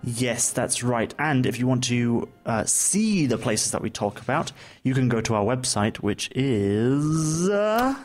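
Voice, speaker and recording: A man's voice: mid-range voice, expressive, unhurried, faint music behind. A man co-hosting a podcast in casual chat, joking and laughing easily. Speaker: podcast co-host.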